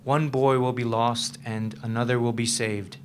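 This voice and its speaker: Male actor as troubled man, medium pitch, dramatic stage reading, understated, guarded, background noise. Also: flat delivery, moderate pace, lightly acted. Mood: uneasy, confiding. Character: troubled, guarded man confiding in his girlfriend, haunted by past.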